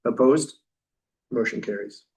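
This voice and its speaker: A man chairing a town board meeting, calling votes in a brisk, procedural way. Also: mid-range voice. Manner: brisk procedural, routine phrasing. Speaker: first selectman chairing the meeting. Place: meeting room. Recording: voice from far off the mic.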